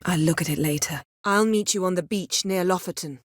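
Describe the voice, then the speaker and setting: An actress voicing a proud, sharp-tongued sorceress in a fantasy video game, with a crisp, imperious, dryly sardonic delivery. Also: female voice, on the low side, brisk, no room sound, clean studio sound, lightly acted. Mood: composed, dry.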